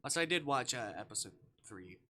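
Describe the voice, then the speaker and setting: Male livestream host, bright tone, slow rambling chat with repetitive singsong phrasing, faint background noise. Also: medium pitch, lively intonation. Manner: rambling, repeats words and phrases in singsong bursts. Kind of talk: casual gaming livestream chat.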